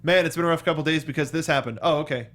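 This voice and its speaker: Higher-voiced man hosting a chat show. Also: racing, fairly bright tone. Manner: enthusiastic, informal. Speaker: show host. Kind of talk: casual review show chat.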